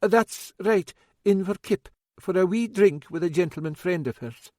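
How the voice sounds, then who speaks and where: A man's voice: high voice, moderate pace, darkish tone, no room sound, studio quality, voice pushed up, natural voice. A man voicing a timid older Scottish woman, a fretful cook, with a nervous, fussy manner and a Scottish accent. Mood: anxious.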